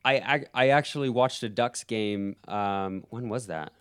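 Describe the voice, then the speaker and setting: A young man from Alberta with a Canadian accent, chatting casually as a guest, relaxed and quick to laugh, with frequent ums and restarts. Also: on the low side, smooth. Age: young adult. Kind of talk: casual conversation.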